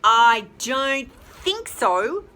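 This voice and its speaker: A woman's voice: on the high side, big pitch swings, extremely slow, sharp tone, full character voice. A woman voicing a big, brave, naive young chick for a children's storybook. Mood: firm.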